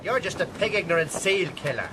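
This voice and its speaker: Folksy old sea salt guy, highish voice, gruff n knowing, wind and sea roaring. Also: sing-song, brisk, exaggerated voice, low-quality recording. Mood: grumbling. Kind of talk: radio drama acting. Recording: loud wind and sea ambience.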